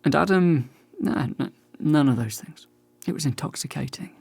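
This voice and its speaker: A man's voice: fairly high pitch, normal speed, creaky, a little mellow, slightly rough, dry recording. An actor playing an awkward, wounded bisexual man of about forty in a radio drama, talkative, hesitant and self-deprecating. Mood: wistful. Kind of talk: naturalistic radio drama acting.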